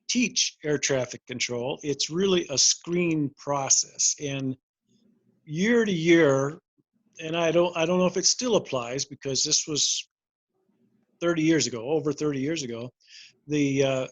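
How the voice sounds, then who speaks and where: An older American man giving an informal career talk, explaining and recounting in a measured, reflective way. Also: fairly high pitch, dry recording, dull audio. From Montana, United States. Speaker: air traffic controller, career fair guest speaker.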